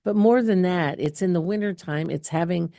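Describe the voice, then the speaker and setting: A middle-aged woman answers viewers' questions in a loose, chatty way, often stopping, restarting and filling gaps with "um". Her voice sits fairly low and sounds mellow and warm. The sound is close and dry. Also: normal speed, no room sound. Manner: chatty, rambling with many fillers and restarts. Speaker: health clinic host answering viewer questions. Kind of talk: casual live Q&A.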